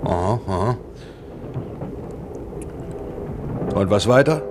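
Ein Schauspieler spielt in einem deutschen Hörspiel einen herrischen, ungeduldigen Filmproduzenten, knapp und umgangssprachlich. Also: male voice, fairly low pitch, expressive, brisk, very noisy, close to an everyday voice. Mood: impatient, unimpressed. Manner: curt, colloquial, commanding. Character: bossy, impatient, blunt Hollywood film producer. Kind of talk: German radio drama acting.

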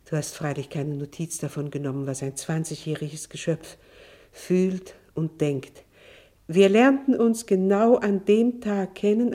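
An actress playing a proud, embittered estranged wife and mother in a German radio drama, speaking in a deliberate, theatrical, eloquent way. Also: fairly low pitch, dark tone, lightly acted. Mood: accusing.

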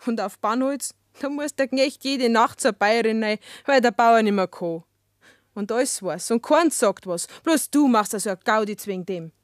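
Radio drama: shameless, cajoling young Bavarian village woman played by an actress, higher-pitched, dry close sound. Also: normal speed, dry recording, close to an everyday voice. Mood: defiant. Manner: cajoling, unapologetic. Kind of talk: German dialect radio drama acting.

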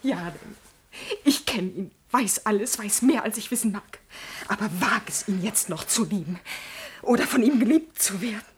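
A woman's voice: mid-range voice, expressive, moderate pace, a little hiss or hum, lightly acted. An actress playing a proud, jealous noblewoman in a classical radio drama, speaking in a theatrical, imperious manner. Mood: furious, threatening. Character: proud, jealous noblewoman, a passionate prince's mistress.

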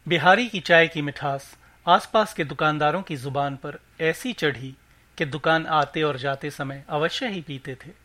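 A man's voice: a little high, a little slow, pushed and bright, soft background music. A man narrating a scripted short story for a podcast, reading as the host.

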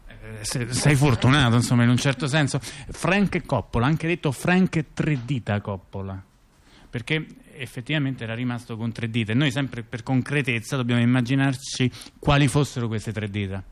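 Male actor as ironic intellectual, mid-pitched voice, conversational theatre monologue, halting, repetitive, rhetorical questions. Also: lightly acted. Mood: explanatory, ironic. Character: chatty male intellectual telling mafia scandals with irony. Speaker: stage actor-narrator.

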